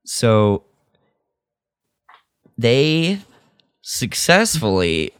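A man co-hosting a podcast, chatting casually and retelling a story in an animated way. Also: mid-range voice, very slow, clean tone, no echo, studio quality. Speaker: podcast co-host. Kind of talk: casual review chat about an audio drama.

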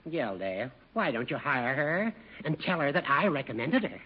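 An actor playing a sly, wheedling older judge in a radio comedy, with an American accent, a raspy voice and a needling, coaxing manner. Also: male voice, a little high, big pitch swings, normal speed. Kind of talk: 1950s radio situation comedy acting. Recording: muffled old 1950 radio recording.

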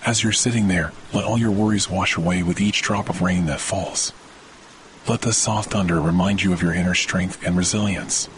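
A man with a deep, low voice guides listeners through a scripted relaxation meditation. His delivery is soothing and measured, like that of a sleep podcast host. Rain on leaves and soft thunder are clearly audible behind his words. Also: background noise. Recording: loud rain and thunder sound bed.

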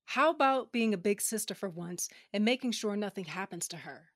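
A woman's voice: medium pitch, expressive, fairly quick, no room sound, studio quality, natural voice. An actress playing a sarcastic female pilot and gunslinger in a sci-fi audio drama, with a wry, informal delivery. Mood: teasing. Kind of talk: audio drama acting and narration.

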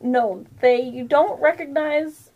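A woman's voice: sits high, slow, dull audio. A woman hosting a tabletop roleplaying podcast, narrating casually as game master. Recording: clearly noisy room recording with clicks.

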